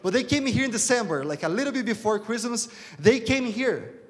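High-pitched Brazilian man preaching in a hall. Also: lively intonation, brisk. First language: Portuguese. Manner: repetitive, emphatic, rhetorical questions. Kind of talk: sermon to a congregation. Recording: reverberant hall sound.

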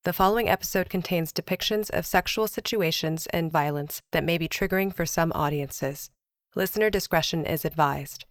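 A woman delivers a scripted advisory as an announcer. Her voice sits a bit low and is formal and measured, recorded dry. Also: no echo.

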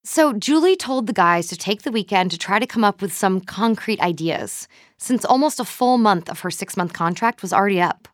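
An actress playing a measured, dryly wry documentary podcast narrator, reading scripted investigative narration in a composed, deliberate way. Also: female voice, mid-range voice, lively intonation, no room sound, studio quality, lightly acted.

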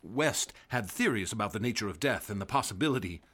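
An actor playing a haunted, weary 1920s doctor giving testimony, subdued and grave, with a husky voice, in an old-time radio play. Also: male voice, lowish voice, lively intonation, fairly quick, a bit bright. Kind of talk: 1930s radio acting.

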